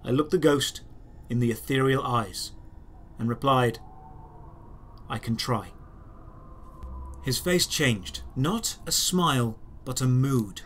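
A man reading dramatic first-person fantasy narration as a storyteller, measured, with deliberate pauses. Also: medium pitch, a bit bright, slight background noise. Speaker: writer and narrator.

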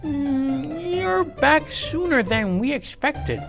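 A man voicing a prim, dull, gullible male principal in a storybook, fussy and drawn-out. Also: very high-pitched, big pitch swings, gravelly, music in the background, pitched above the performer's own voice, exaggerated voice. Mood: fussy, surprised.

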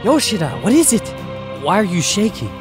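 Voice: mid-range voice, big pitch swings, brisk, music in the background, close to an everyday voice. A performer voicing an anxious, earnest young man who narrates and acts in a horror manga dub. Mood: reassuring, urgent.

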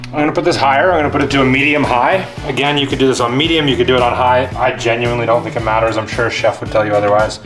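A man in his thirties casually showing a recipe in his home kitchen, joking and gently coaching his young daughter. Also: medium pitch, steady tone, rapid-fire, hardly any pauses. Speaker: home cook and vlogger. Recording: echoey kitchen with music behind. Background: background music and clattering pots.

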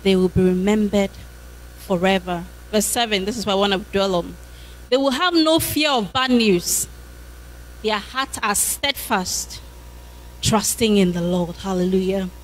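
A woman's voice: mid-range voice, measured pace, a little hiss or hum. A woman in her thirties preaching a sermon with a handheld mic in a church hall, fervent and punctuated by hallelujahs.

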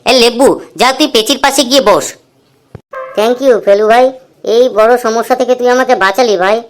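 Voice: medium pitch, normal speed, a little hiss or hum, close to an everyday voice. A performer voicing cheeky, playful schoolboys in a comedy cartoon. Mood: chatty.

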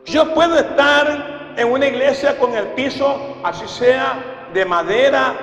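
An older man delivers an impassioned sermon in a very high voice. He is emphatic, repeating phrases and posing rhetorical questions. The sound comes through a handheld mic in a reverberant church hall, with music clearly present behind him. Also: a little slow, a bit bright. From Ecuador. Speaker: pastor. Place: large church hall, indoors, before a congregation. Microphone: handheld microphone through PA.

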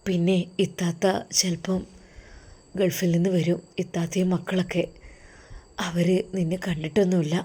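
A woman voicing a gentle young husband who speaks softly and comfortingly to his wife. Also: normal speed, pauses often, natural voice. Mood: soothing. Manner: soft, low and comforting.

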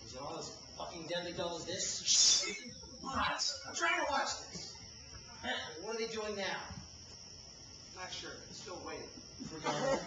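A man plays an exasperated theatergoer in a comic stage play, swinging widely in pitch as he bickers. He projects to an audience, caught from far off in a very echoey, noisy space. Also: sing-song, unhurried, pauses often. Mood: idle bickering. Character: two bickering male theatergoers, one crude bored skeptic, one earnest explainer. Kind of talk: loud comic stage dialogue. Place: live summer theater stage. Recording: distant, echoey, noisy live theater recording.

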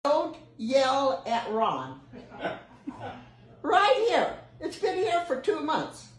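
A woman's voice: sits high, huge pitch swings, very slow. A woman in her mid-nineties chatting at home, blunt and feisty, swearing freely. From United States. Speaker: grandmother filmed for family social clips. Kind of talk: casual home chat. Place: living room, indoors. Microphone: phone camera a few feet away. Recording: roomy, echoing home phone video.

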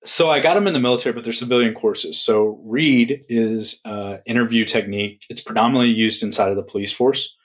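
A man answering interview questions in a relaxed, conversational way, often checking in with "right?". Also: medium pitch, fairly quick, few pauses. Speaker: podcast guest.

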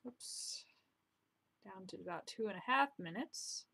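A young woman talks through her drawing at her desk, her voice sitting at an ordinary middle pitch. She is chatty and self-deprecating, often trailing into soft muttered asides. It sounds like a simple home desk-mic recording. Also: lively intonation, a little slow, gravelly. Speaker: drawing vlogger. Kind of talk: casual commentary while drawing. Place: home room, indoors.

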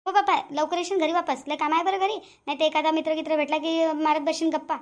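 A woman's voice: high-pitched, little pitch movement, fast, dull audio, natural voice. A woman voicing a proud, grumbling grandmother in a cartoon family drama, speaking with a Vidarbha Marathi accent. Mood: bossy. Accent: Vidarbha Marathi (Varhadi). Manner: nagging, complaining, muttering asides. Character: proud, grumbling, boastful grandmother and mother-in-law.

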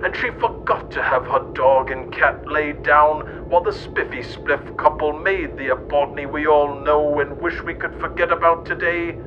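A man reading a scripted mock-history tale in a theatrical, mock-serious manner. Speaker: narrator and host. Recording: dull, noisy, rumbly old-radio sound.

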